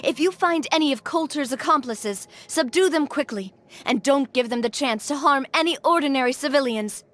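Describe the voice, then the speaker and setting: A woman's voice: high voice, normal speed, a bit bright, a little hiss or hum. An actress playing a resolute young female leader in an anime video game, speaking with commanding determination.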